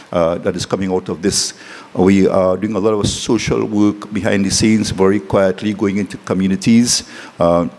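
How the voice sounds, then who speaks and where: A man with a Trinidadian accent giving a formal, somewhat halting welcome speech as a city official. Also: deep voice, darkish tone. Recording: echoey reverberant hall, clearly noisy background.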